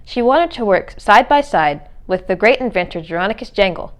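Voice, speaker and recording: A woman's voice: mid-range voice, lively intonation, normal speed. A woman reading a children's storybook aloud as narrator. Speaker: read-aloud reader.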